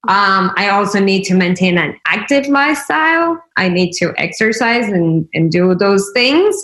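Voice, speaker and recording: A woman's voice: medium pitch, big pitch swings, moderate pace, fairly bright tone. A woman from Venezuela speaking as an interview guest, telling personal stories in a conversational, earnest and explanatory way. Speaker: interview guest, wellness consultant. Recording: roomy, echoing sound.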